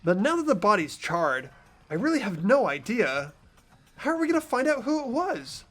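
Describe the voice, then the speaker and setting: A man voices an anxious teenage schoolboy hero from a visual novel game. The voice is high and very animated, hesitant and earnestly questioning, with faint music behind. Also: normal speed, full character voice. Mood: bewildered.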